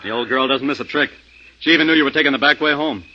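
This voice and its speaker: Dull vintage radio sound, male actor as shrewd policeman, mid pitch, dry, laconic, cool. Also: fast, natural voice. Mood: dry, knowing. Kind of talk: 1950s radio drama acting. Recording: muffled, faintly noisy 1950s radio recording.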